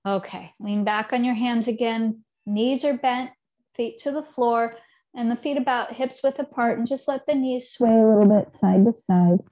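A woman in her thirties teaching a seated yoga class from her living room, giving calm, unhurried cues with soft trailing endings, picked up by a camera set some distance away. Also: on the high side. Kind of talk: guided yoga instruction.

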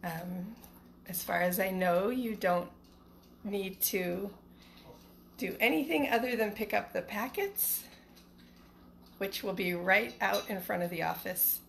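A woman's voice: medium pitch, takes it slowly, gravelly, long pauses. A middle-aged woman, a teacher chatting casually and reading a picture book to children from home. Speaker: elementary school teacher reading storytime. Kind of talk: casual livestream chat and picture-book read-aloud for children. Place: small living room at home, indoors. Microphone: phone at arm's length. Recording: some background noise, home phone recording.

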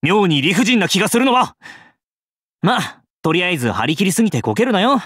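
An actor playing an excitable, brash young knight in a game, comically earnest and exclamatory. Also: male voice, high voice, a little fast, slightly rough, dry recording, clean studio sound, exaggerated voice. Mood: bemused, casual. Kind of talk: scripted game character dialogue.

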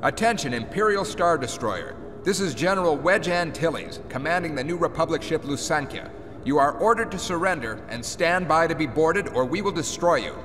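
An actor playing a confident, wry rebel general in an audio drama, speaking with authority. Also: male voice, on the high side, expressive, normal speed, fairly bright tone. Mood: authoritative. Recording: echoing starship bridge, noisy effects.

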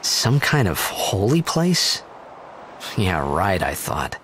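Expressive young star athlete. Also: male voice, deep voice, slow, a little hiss or hum, full character voice. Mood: reflective. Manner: youthful, expressive, hesitant when confused. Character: brash, bewildered teenage male star athlete. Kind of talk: video game dialogue and narration.

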